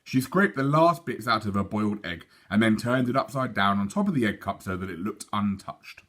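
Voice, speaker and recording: A man reading a children's storybook aloud in an expressive narrating style. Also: on the low side, brisk.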